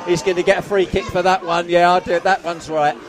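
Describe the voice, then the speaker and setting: A man giving live play-by-play football commentary outdoors, excitable and losing his voice. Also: high voice, fast. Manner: excitable, shouts at goals, self-mocking. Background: crowd noise.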